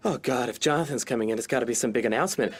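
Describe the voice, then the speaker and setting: An actor playing an anxious, self-doubting copywriter in a scripted workplace comedy, with a nervy, fretful manner. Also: male voice, medium pitch, racing, breathy edge, close to an everyday voice. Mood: panicked. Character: anxious, self-doubting male copywriter and failed stand-up comic.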